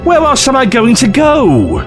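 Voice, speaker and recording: A man's voice: very high-pitched, wildly swooping pitch, unhurried, over music, full character voice. A man playing a glib, eccentric alien time traveller in an audio drama, flippant, theatrical and condescending. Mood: brisk, cheeky.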